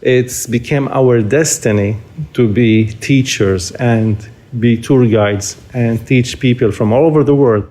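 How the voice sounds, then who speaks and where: A man's voice: medium pitch, a little slow, warm tone. Arabic-accented man in his forties. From Jerusalem. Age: 40s. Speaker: interviewee, tour guide. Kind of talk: conversational interview answers.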